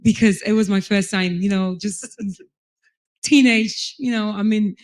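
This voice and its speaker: A woman's voice: mid-range voice, fairly even intonation, slow. Reflective Ghanaian-born woman moderating a panel. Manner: reflective, conversational, with fillers. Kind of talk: panel conversation.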